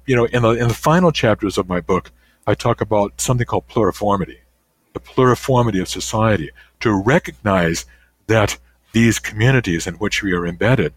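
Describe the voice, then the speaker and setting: A man's voice: lowish voice, no room sound. Older man, guest author, thoughtful, lots of restarts, dry close sound. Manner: thoughtful, many restarts and "you know"s. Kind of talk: conversational interview answers.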